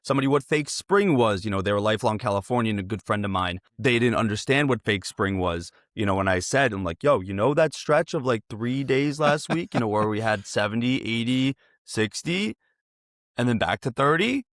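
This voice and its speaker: A man's voice: mid-range voice, animated, brisk, fairly bright tone, no echo, clean studio sound. An American man hosting a casual interview, talking in a chatty, informal and wordy way. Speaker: interview host.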